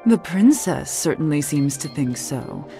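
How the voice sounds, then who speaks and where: A woman playing a somber, gently wry cursed witch in a video game, measured, earnest and questioning. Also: low-pitched, lively intonation, vocal fry, soft background music, full character voice. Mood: probing, composed. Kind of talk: video game cutscene acting.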